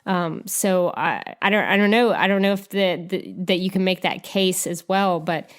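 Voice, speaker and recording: A woman's voice: lowish voice, moderate pace. A woman co-hosting a podcast, discussing film casually and somewhat hesitantly as she thinks aloud. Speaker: podcast co-host.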